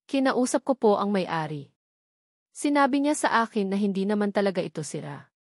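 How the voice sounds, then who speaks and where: Female narrator as story's deferential investigator, a man reporting plainly, mid female pitch. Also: expressive, normal speed, no room sound, clean studio sound, close to an everyday voice. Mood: earnest reporting. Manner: respectful, matter-of-fact. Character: male investigator, deferential, reporting to his boss. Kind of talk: audio story character dialogue.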